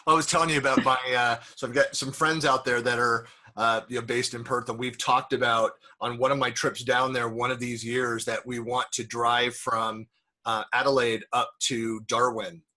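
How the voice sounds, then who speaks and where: Bright-voiced chatty male host. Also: mid-range voice, level tone, normal speed. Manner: chatty, rambling with restarts. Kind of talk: casual video interview.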